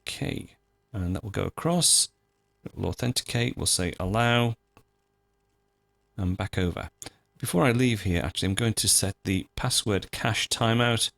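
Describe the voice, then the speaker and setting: Man, lowish pitch, very varied intonation, dry close-miked sound, conversational step-by-step tutorial host, methodical, informal. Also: normal speed, vocal fry, pauses often, a bit bright, no echo. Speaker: host of a software tutorial show.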